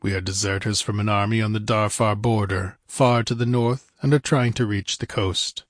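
A man's voice: on the low side, fairly even intonation, normal speed, dry recording, studio quality, lightly acted. Man playing blunt, suspicious barbarian adventurer. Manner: terse, growling. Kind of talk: audiobook fantasy adventure character.

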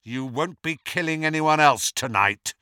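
A man's voice: fairly high pitch, expressive, measured pace, bright, forceful tone, clear, resonant tone, no echo, clean studio sound. A man voicing a brave teenage wizard in an audiobook, speaking with firm, earnest defiance. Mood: firm, defiant.

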